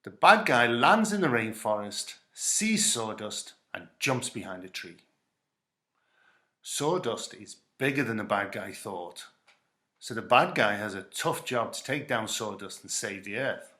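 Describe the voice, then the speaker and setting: A man's voice: lowish voice, expressive, a little slow, sharp tone. A man reading a children's story aloud in a dramatic storytelling style. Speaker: story reader.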